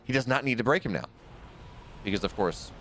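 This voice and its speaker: A man's voice: lively intonation, a little fast, fairly bright tone, a little hiss or hum. A man casting a strategy game live, talking casually with his chat between plays and often restarting his sentences. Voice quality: deep radio-style voice. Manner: conversational, rambling asides with frequent restarts. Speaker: esports caster.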